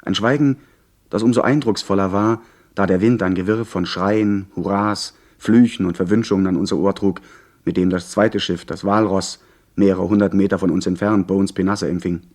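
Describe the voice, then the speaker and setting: Low-voiced earnest male narrator. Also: steady tone, normal speed, a little mellow. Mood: measured, literary. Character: earnest young gentleman recalling his pirate adventure. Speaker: first-person narrator. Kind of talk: scripted dramatic narration.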